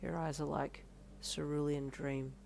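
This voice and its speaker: A woman voicing a cocky, teasing young man in an audio story, speaking low and smug. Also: steady tone, quick pace, darkish tone, a little hiss or hum. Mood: mock romantic.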